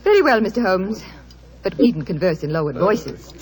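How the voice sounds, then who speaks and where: Poised lady, expressive pitch played by an actress. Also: moderate pace, a crowd in the background, lightly acted. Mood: defiant composure. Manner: composed, formal, firmly polite. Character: poised, defiant married society woman. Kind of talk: 1940s radio acting. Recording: noisy vintage radio recording.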